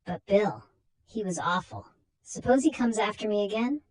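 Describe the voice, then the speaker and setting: A glamorous married actress as a woman storyteller plays her talks in a husky, dramatic voice. She is proud and easily alarmed, a heroine from a horror comic. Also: medium pitch, lively intonation, moderate pace, a little mellow, natural voice, low-quality recording. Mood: frightened. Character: beautiful married actress, indignant and frightened.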